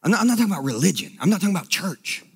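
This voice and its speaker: A man preaching a sermon with emphatic, sing-song delivery that sometimes drops to a low, hushed voice. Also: sing-song, racing, husky. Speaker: pastor.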